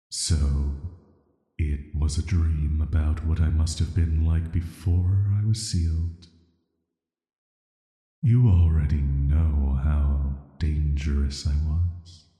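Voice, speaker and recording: A man playing an ancient banished villain, sealed away and softly menacing, speaking in a flat, hesitant, measured way for an atmospheric sleep-aid audio roleplay. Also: a bass voice, heavily acted. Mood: pensive, curious. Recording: roomy echo with long reverb.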